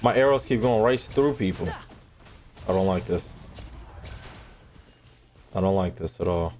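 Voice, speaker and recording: A man's voice: deep voice, lively intonation, measured pace, darkish tone, soft background music. A man giving casual, joking gameplay commentary as a video host, crude and slangy.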